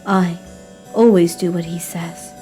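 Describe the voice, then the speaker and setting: A woman voicing a resigned, formal, cryptic servant in amateur period drama, hushed and measured. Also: fairly low pitch, fairly even intonation, soft background music, natural voice.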